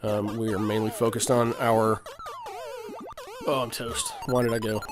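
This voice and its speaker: A man's voice: lowish voice, fairly even intonation, a little slow. Guy with a cold chatting through a retro game, lots of ums, music playing behind. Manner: rambling, with frequent fillers and throat clearing. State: has a cold. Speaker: let's play host. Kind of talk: casual gameplay commentary.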